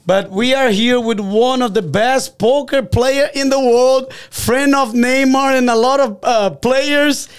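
A man's voice: extremely high pitch, fairly even intonation, measured pace, hardly any pauses, smooth. A man co-hosting a casual podcast, laughing often and switching between languages. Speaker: podcast co-host.

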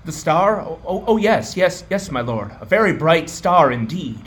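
Man as frightened male astrologer, radio play, stammering, obsequious, fawning, raised pitch. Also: big pitch swings, normal speed, fairly bright tone. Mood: terrified, fawning. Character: cowering, fawning male court astrologer, terrified flatterer. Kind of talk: amateur radio play acting.

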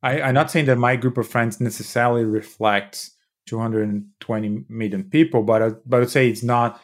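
A man's voice: mid-range voice, fairly even intonation, normal speed. A man in his thirties from Brazil with a Brazilian Portuguese accent, explaining at length in a podcast interview, with frequent restarts. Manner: explanatory, many restarts and "you know". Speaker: startup founder and CEO.

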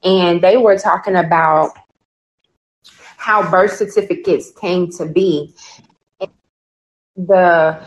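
A woman from Chicago co-hosting a podcast, telling a story in an earnest, animated way with casual fillers. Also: lowish voice, slow, pauses often, highs cut off. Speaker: podcast co-host. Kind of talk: casual chat.

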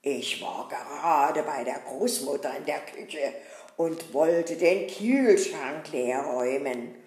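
A gruff, greedy robber bellows and grumbles through a puppet show, played by a woman. He speaks slowly with heavy, angry emphasis. The recording has a roomy echo. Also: deep voice. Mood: grumpy, angry. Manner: loud, grumbling, angry blustering.

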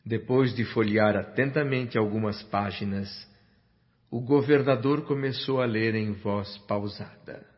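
A man's voice: fairly low pitch, a little slow, close to an everyday voice. An actor playing a man's spirit who narrates his memories of the afterlife in a solemn, reverent, literary radio drama style. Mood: awed, reverent. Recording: dull lo-fi radio sound with music. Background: music.

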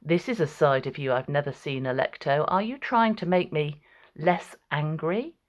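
A woman's voice: sits low, moderate pace, lightly acted. A woman voicing Clytemnestra, a tall, proud, vengeful ancient queen, in a clipped, tense manner for a storybook reading. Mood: wry.

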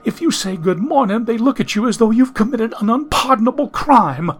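A man's voice: very high voice, expressive, brisk, breathy edge, voice pushed up, full character voice. A man reading an audiobook, voicing a genteel young woman from the 1870s who is anxious, prim and lost in the modern world. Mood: distressed. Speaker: audiobook narrator.

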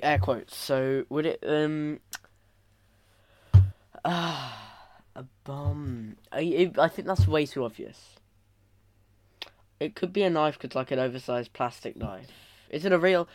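A man's voice: a little high, no room sound. Male podcast co-host, bantering guesser, dry close recording. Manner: playful, reasons aloud while guessing. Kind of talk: casual chat.

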